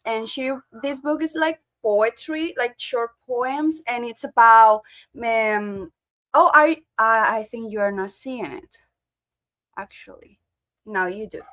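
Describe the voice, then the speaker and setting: A woman's voice: on the high side, animated, slow, fairly bright tone. A woman hosting an online book club over a video call. English is not her first language, and she talks hesitantly with many fillers and restarts. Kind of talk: casual online meeting chat.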